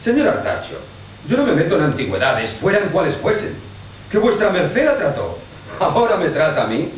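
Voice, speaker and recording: A man's voice: a little high, sing-song, moderate pace. A man playing a hot-tempered, haughty, complaining lover in a classical radio comedy. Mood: challenging. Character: hot-tempered, jealous, grudgingly generous male lover. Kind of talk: Spanish classical comic radio theatre acting. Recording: roomy, hissy 1980 radio broadcast.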